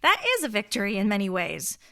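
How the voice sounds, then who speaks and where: A woman's voice: mid-range voice, big pitch swings, fast talker, gravelly, fairly bright tone. An actress playing an indignant, wordy woman in her twenties in a radio play, with a prim, sarcastic way of talking. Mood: indignant, dry. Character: indignant, wordy young female nursing-school dropout. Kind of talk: radio drama acting.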